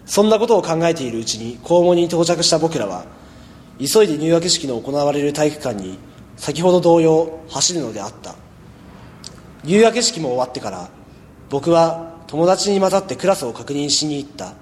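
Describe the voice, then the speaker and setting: A man's voice: fairly high pitch, big pitch swings, moderate pace, a little mellow, a little hiss or hum. Man playing a wry schoolboy, heavy reverb. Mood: wry, reflective. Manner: dry, mildly sarcastic. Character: laid-back, wry teenage high school boy narrating his life. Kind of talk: amateur radio drama narration and acting. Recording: echoey, long reverb.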